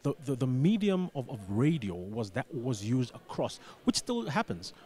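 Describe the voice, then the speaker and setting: A man answering as a radio interview guest, explaining deliberately and repeating words as he forms his points. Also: mid-range voice, very animated, darkish tone. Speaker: radio station manager, studio guest.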